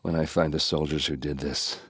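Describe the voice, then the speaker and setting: A man voicing a gruff, hard-drinking warrior captain in a video game, loyal and brash, with a blunt, wry, informal way of talking. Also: very deep voice, level tone, moderate pace, a bit bright, dry recording, clean studio sound, full character voice. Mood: grave, subdued. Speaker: game character voice actor. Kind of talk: video game dialogue and combat lines.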